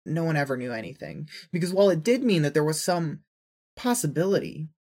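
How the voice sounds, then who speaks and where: A narrator reading scripted second-person fiction in an earnest, emotive storytelling manner, with a husky voice. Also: medium pitch, sing-song, fairly quick, darkish tone.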